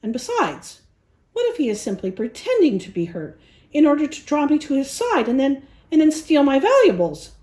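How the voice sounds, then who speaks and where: A woman's voice: sits high, huge pitch swings, normal speed, a little mellow, roomy sound. A woman voicing a haughty, prissy rich female poodle in a storybook, exclaiming in an affected, dramatic way. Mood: haughty, fretting.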